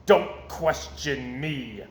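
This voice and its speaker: A man's voice: medium pitch, animated, very slow, gravelly, full character voice. Man putting on a barking, bossy circus owner. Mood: outraged, commanding. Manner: barking, imperious, loud. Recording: roomy echo, faint background noise.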